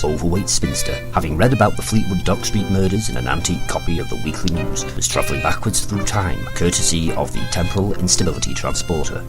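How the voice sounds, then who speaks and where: A man's voice: a bass voice, gravelly, full character voice. A man playing a pompous, brooding narrator of a Victorian murder mystery in a comic audio drama. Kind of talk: mock-gothic scripted comedy narration.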